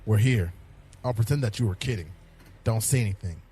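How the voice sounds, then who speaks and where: A man playing a world-weary, secretive police detective in a hard-boiled sci-fi audio drama, terse and deadpan, over faint noise. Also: lowish voice, brisk, lightly acted. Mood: guarded, weary.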